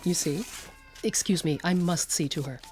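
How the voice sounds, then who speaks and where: A resolute, devoted mother played by an actress speaks in a low female register. She is formal, composed and firm in radio-drama style, with faint music beneath. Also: normal speed, darkish tone, close to an everyday voice.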